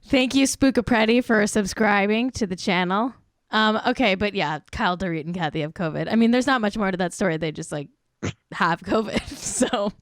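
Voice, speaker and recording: A woman's voice: medium pitch, normal speed, no echo, studio quality. A woman co-hosting a casual chat show, giggly and chatty, swearing now and then. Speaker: co-host.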